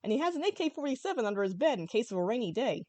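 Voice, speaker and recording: A woman voicing an excitable, eager female dragon who blurts things out in a comic story. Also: a little high, racing, dry recording. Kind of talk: hobby fan fiction reading.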